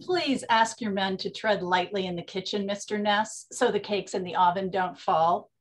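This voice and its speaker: A woman's voice: medium pitch, moderate pace, a little hiss or hum, close to an everyday voice. An actress playing a bossy, slangy woman bootlegger in a 1920s comedy radio play. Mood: coy.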